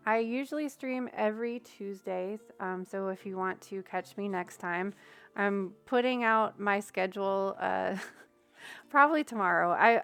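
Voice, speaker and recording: A woman's voice: medium pitch, fairly bright tone, clean tone. A young woman chatting casually on a livestream, giving readings and thinking aloud with many fillers. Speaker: streamer giving tarot readings. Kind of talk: casual livestream chat with viewers.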